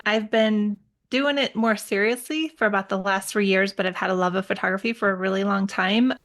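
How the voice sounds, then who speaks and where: A middle-aged woman from the United States, a guest in an interview, explaining things in a steady way with a few restarts. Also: mid-range voice, brisk. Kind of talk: casual interview chat.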